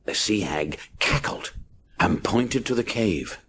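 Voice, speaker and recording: A man's voice: lowish voice, expressive, slow pace, a bit bright, slight background noise. A man narrating a children's adventure story dramatically, punching out the action words. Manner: punchy, theatrical sound-effect words.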